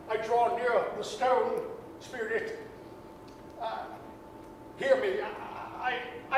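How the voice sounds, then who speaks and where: High, anguished old miser in an echoey hall. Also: male voice, a bit bright, exaggerated voice. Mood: terrified pleading. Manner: pleading, stammering, anguished exclamations. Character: frightened, repentant old male miser. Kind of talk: 1930s radio drama staged reading. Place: performing arts center stage. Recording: echoey hall from far off, faint noise.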